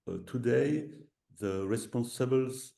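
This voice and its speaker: Slow hesitant French-accented man, gravelly. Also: mid-range voice, mellow, roomy sound. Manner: hesitant, many fillers and restarts, slips into French. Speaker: visiting professor speaking on a webinar. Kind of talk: halting webinar talk in a second language.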